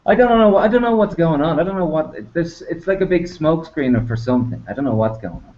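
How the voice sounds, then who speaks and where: A man's voice: on the high side, expressive, fairly quick. Informal man on a dull online call. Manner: informal, sweary, rambling. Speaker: co-host of an online talk show. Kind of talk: casual two-person chat. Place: remote online video hangout.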